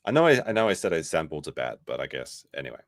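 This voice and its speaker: A man with a slightly hoarse voice hosting a livestream, reading posts aloud and reacting casually in a rambling, wry way. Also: a bass voice, very animated, quick pace, no echo, clean studio sound. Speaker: livestream host.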